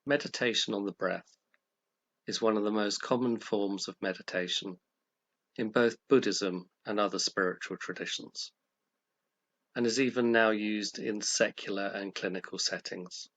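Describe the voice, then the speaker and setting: A man with a fairly low voice leads a guided meditation. He gives gentle, calm instructions in short measured phrases, pausing between them.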